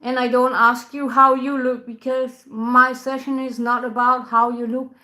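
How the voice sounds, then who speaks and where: A woman, a tantra teacher talking casually to camera in soft, unhurried English, often laughing between thoughts. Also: high voice, flat delivery. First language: Danish. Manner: soft-spoken, unhurried, laughs often, non-native grammar. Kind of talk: casual spoken talk to camera.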